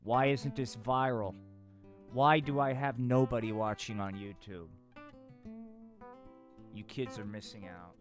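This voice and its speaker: A man hosts a puppet show live, chatting without a script in loose, profane slang. He talks in an unhurried, drawn-out way at a mid-range pitch, with faint guitar music behind him. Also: fairly even intonation. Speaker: puppeteer host. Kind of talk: unscripted live stream banter.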